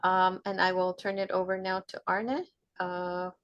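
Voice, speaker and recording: Woman moderator on a video call, friendly, slightly halting. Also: on the low side, level tone, muffled recording. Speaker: meeting moderator. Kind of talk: casual meeting chat.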